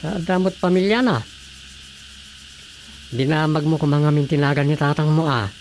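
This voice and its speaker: A man's voice: fairly high pitch, fairly even intonation, normal speed, a little mellow, natural voice. A man playing a chatty, inquisitive village councillor with a Filipino accent in comedic radio drama dialogue. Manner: questioning, conversational. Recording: faint background noise.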